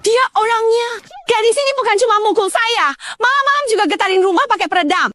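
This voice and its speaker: Woman acting a piercingly shrill old neighbor woman. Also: fast talker, a bit bright. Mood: outraged, wailing. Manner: shrill, aggrieved, accusatory. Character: belligerent scheming old neighbor woman playing the victim.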